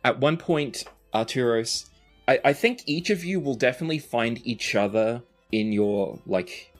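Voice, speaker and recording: A man's voice: mid-range voice, a little slow, faint music behind. A man narrating a tabletop roleplaying game as game master, improvising scenes in a conversational way.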